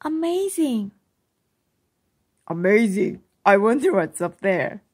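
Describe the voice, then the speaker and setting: A woman voicing a gentle, encouraging old grandfather full of wonder in a children's storybook. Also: mid-range voice, very animated, takes it slowly, husky, heavily acted. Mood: amazed. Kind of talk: children's storybook read-aloud.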